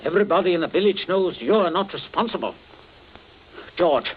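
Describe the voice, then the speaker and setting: A man's voice: high-pitched, expressive, normal speed, slight background noise. A stern domineering father. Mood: scolding. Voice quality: stern, commanding. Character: stern middle-aged English father, domineering. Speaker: family man. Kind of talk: 1940s radio acting. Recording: lo-fi old radio broadcast.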